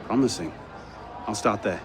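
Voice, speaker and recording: A man's voice: fairly low pitch, moderate pace, crowd noise, background noise, close to an everyday voice. An actor playing a determined, wry young male adventurer in a video game cutscene. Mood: brisk, wry. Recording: music behind the voice.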